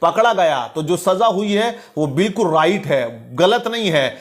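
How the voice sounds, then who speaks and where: High-pitched man delivering preachy commentary. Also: lively intonation, normal speed. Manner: preachy, denunciatory, quoting religious sayings. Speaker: commentator and presenter of an online channel. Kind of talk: opinionated religious and news commentary.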